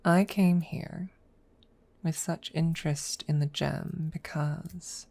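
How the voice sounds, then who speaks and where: A woman voicing a haughty, centuries-old dragon rival who is secretly smitten, speaking haltingly in a close-miked ASMR roleplay. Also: low voice, fairly even intonation, vocal fry, warm tone, full character voice. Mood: irritated, smitten. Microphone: close microphone.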